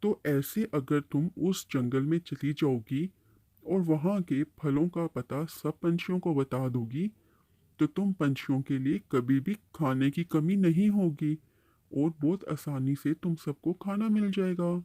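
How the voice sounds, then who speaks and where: A man's voice: on the high side, unhurried, warm tone, no echo, close to an everyday voice. A man playing a kindly uncle tree in a bird cartoon, patiently explaining and advising. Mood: encouraging. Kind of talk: Hindi-Urdu cartoon storytelling dialogue.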